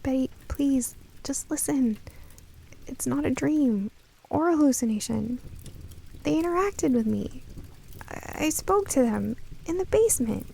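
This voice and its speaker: An earnest, inquisitive young heroine acted by a woman tells her own story in a cozy, spooky radio play. Her voice is mellow and dark, with heavy creak at the edges. She speaks in measured phrases over a faint bed of background noise. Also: sits high, lively intonation, heavily acted, low-quality recording. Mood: pleading, urgent. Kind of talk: first-person scripted radio drama narration.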